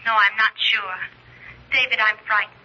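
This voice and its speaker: Anxious, piercing bride-to-be on telephone. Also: female voice, medium pitch, steady tone, moderate pace, sharp tone. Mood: frightened. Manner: worried, urgent. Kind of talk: old-time radio drama acting. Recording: muffled old radio telephone sound.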